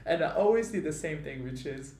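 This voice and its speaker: A man from Morocco hosting a podcast, telling stories in a casual, animated way. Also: a little fast. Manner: animated, grows lively and high when telling stories. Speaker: podcast host. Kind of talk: casual conversational storytelling. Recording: roomy echo.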